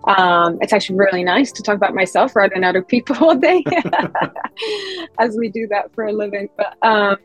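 A woman's voice: mid-range voice, lively intonation, normal speed, soft background music. A woman in her thirties, originally from Bulgaria, chatting freely as a podcast guest about her career, with many fillers and restarts. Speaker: guest, head of people experience. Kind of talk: conversational podcast interview.

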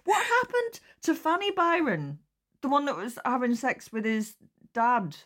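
A woman's voice: high-pitched, sing-song, a little slow, a bit bright, slight background noise. A woman hosting a casual chat interview, jokey and informal as she reacts and asks questions. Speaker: podcast host and interviewer.

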